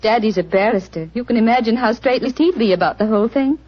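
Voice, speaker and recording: A woman's voice: a little high, fast, lightly acted. An actress playing a forthright, well-bred young woman, polite and coaxing, in a 1940s radio drama. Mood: troubled. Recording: dull 1940s radio broadcast recording.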